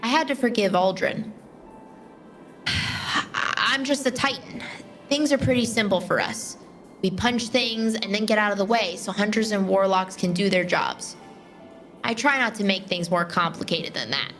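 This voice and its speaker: A woman playing a steadfast, plain-spoken young Titan warrior in a fan audio drama, earnest and measured. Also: mid-range voice, a bit bright, soft background music, lightly acted. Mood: reflective.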